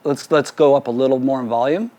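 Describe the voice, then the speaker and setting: A man's voice: medium pitch, fast, warm tone, a little hiss or hum. A man working as a music producer gives a casual hands-on tutorial, handing out informal instructions to his crew in a drum studio. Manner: informal, directive. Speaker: music producer giving a mixing demonstration. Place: recording studio with drum kit and PA.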